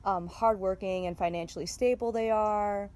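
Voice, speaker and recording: A woman's voice: medium pitch, fairly even intonation, moderate pace, a little mellow. A teenage girl from Chicago co-hosting a podcast, chatting casually with lots of giggles and "like". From Chicago, USA. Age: teens. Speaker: podcast co-host. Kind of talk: casual chat.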